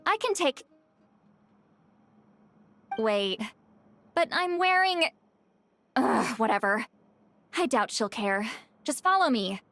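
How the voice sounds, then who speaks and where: A woman's voice: on the high side, big pitch swings, takes it slowly, long pauses, fairly bright tone, faint music behind, heavily acted. An actress playing a reserved, frank young woman in a video game, speaking in a casual, slightly hesitant way.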